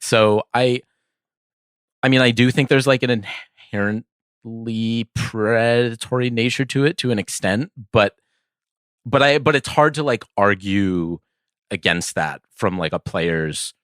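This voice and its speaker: A man's voice: medium pitch, a little slow, a bit bright, clear, resonant tone, no room sound, clean studio sound. A man co-hosting a casual sports podcast, reasoning things out hesitantly and often checking in with a questioning tag. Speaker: podcast co-host.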